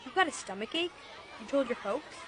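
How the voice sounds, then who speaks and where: A fairly high child's voice in an audio drama. The young boy sounds concerned for a friend, with a faint crowd outdoors behind him. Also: expressive, a little fast, a bit bright, slight background noise. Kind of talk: dramatized audiobook radio play.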